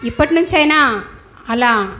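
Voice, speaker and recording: A woman's voice: on the high side, lively intonation, a little slow, sharp tone. A woman Sunday school teacher giving children a moral lesson, instructing and asking questions as she talks with puppets. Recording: clear background noise, ambient sound.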